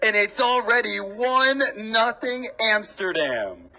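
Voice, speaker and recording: A man's voice: high-pitched, big pitch swings, slow pace, pushed and bright. A young man doing live radio baseball play-by-play commentary, describing the action in short clipped phrases. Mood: excited. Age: young adult.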